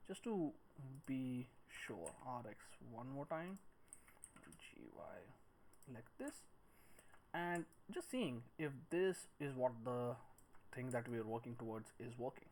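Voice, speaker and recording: A man with a mid-pitched voice narrates a software tutorial in a casual way, talking himself through each step as he works. The recording has clear background noise, with keyboard typing and mouse clicks. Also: sing-song, slow pace. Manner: talks through each step while working, muttering counts and shortcuts. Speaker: 3D modelling instructor.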